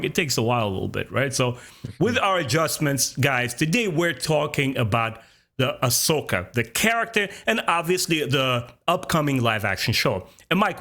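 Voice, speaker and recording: A man's voice: fairly high pitch, a bit bright. A man in his thirties hosting a casual fan podcast, talking with enthusiasm. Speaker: podcast host.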